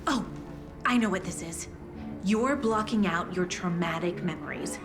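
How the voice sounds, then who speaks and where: A woman's voice: lowish voice, measured pace, music in the background. An actress playing an over-familiar, oblivious small-town local woman in a video game, gushing and sing-song. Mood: oblivious, sympathetic. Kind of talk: video game cutscene acting.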